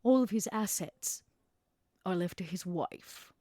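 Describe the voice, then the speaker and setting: Scheming vampire businesswoman acted by a woman, lowish voice, theatrical and insinuating, close dry sound, game podcast. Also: slow pace, mellow, dry recording. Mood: grave, confiding. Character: Vera Volkov, scheming, worldly female vampire businesswoman. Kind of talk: in-character tabletop roleplay.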